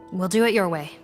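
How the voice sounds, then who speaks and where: A woman's voice: on the low side, close to an everyday voice. A woman voicing a dutiful police investigator in a game, formal, courteous and firm in manner. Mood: frustrated. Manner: formal, businesslike. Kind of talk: scripted game dialogue.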